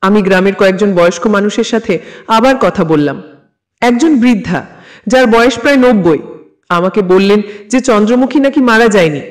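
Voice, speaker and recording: A man narrating a scripted first-person horror story in a measured, literary storytelling manner. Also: high voice, lively intonation.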